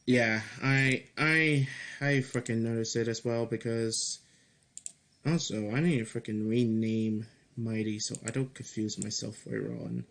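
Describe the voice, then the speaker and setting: A man giving casual devlog commentary as a game developer, speaking haltingly with repeated words and mild swearing. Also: mid-range voice, fairly even intonation, a bit bright. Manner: halting, repeats and restarts phrases. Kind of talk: casual screen-recorded commentary, reading and answering feedback.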